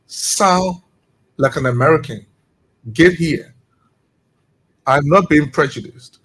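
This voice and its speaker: Middle-aged Liberian man, high-ish husky voice, impassioned radio guest. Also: low-quality recording. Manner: impassioned, rising into emphatic rhetorical questions. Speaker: executive director of a returnee network.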